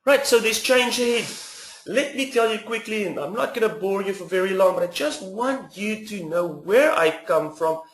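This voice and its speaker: A man in his thirties from South Africa with a South African accent, hosting a webinar in an earnest, persuasive way with pauses to think. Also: high voice, lively intonation, a bit bright, roomy sound, poor audio. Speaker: webinar host. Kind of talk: webinar presentation.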